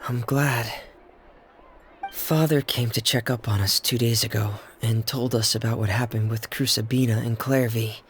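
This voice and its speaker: An actor playing a somber, earnest young man in a video game, speaking in a measured, reflective way. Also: mid-range voice, steady tone, fairly bright tone, slight background noise, lightly acted. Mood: grave. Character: somber soft-spoken young man, orphan raised in harsh house. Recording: game audio under music.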